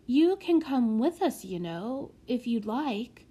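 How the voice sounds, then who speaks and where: A woman's voice: a little high, big pitch swings, takes it slowly, warm tone, breathy edge, exaggerated voice. A woman voicing an earnest, kindly but anxious young boy in a children's storybook. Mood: kind, sincere.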